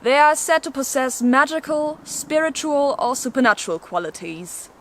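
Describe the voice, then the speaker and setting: A woman with a fairly high voice presents a scripted explainer. Her delivery is playful and a little theatrical, with casual asides to the viewer. There is noticeable noise in the background of the recording. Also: animated, moderate pace, fairly bright tone. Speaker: video host presenting an educational explainer.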